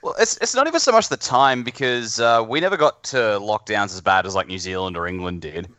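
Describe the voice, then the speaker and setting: A man's voice: on the low side, expressive, brisk, bright, forceful tone, no echo. An Australian man chatting casually as a podcast guest, blunt and self-deprecating, with plenty of swearing. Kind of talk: casual chat.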